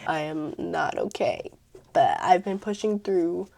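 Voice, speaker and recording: A teenage girl talking through her makeup routine in a casual, chatty way, her voice hoarse from a cold. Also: fairly low pitch, takes it slowly, a little mellow. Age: teens. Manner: chatty, filler-heavy, self-mocking. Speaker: beauty vlogger.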